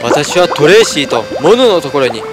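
A steadfast toy soldier in a fairy-tale cartoon. His voice sits fairly high for a man and moves dramatically up and down. He sounds earnest and devoted, with music clearly playing behind him. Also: very animated, normal speed. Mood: determined. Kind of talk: dubbed children's fairy-tale cartoon acting.

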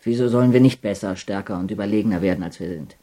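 An actor playing a defensive, arrogant young murder suspect in custody, curt and defiant, in a radio crime drama. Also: male voice, fairly low pitch, fast, mellow, close to an everyday voice. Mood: smug, challenging.

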